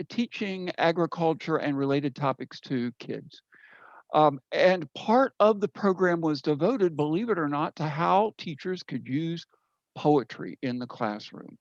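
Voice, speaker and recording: Higher-voiced man presenting on a dull-sounding call. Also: dry recording. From United States. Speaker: poet presenting at an open mic. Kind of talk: informal spoken introduction to an audience. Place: indoors on an online video call. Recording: dull, slightly lo-fi video call audio.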